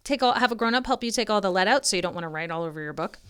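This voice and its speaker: A woman reading a children's story aloud as a tutor, expressively and deliberately, with informal, encouraging asides to young listeners. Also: medium pitch, lively intonation, fairly bright tone, smooth. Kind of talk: modeled children's read-aloud with chatty asides.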